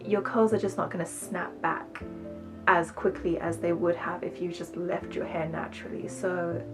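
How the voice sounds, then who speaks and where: A woman's voice: fairly low pitch, steady tone, moderate pace, over music. A woman with a mostly British accent touched with South African and American, chatting casually and ramblingly to camera as a beauty vlogger. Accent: mostly British with slight South African and American touches. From born in the UK, raised as a teenager in South Africa. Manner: rambling, chatty, self-conscious asides.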